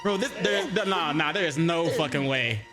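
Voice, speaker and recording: A man with a high-pitched voice casually reacting to a video as a streamer, cursing, heckling the screen and laughing often. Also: music in the background. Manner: profane, heckling and quick to laugh. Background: the reacted video playing.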